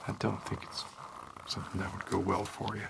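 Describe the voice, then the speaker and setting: A man performing an ASMR roleplay as a world-weary homicide detective, rambling hesitantly in a deadpan manner. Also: deep voice, brisk, gravelly, noisy background. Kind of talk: slow ASMR roleplay monologue.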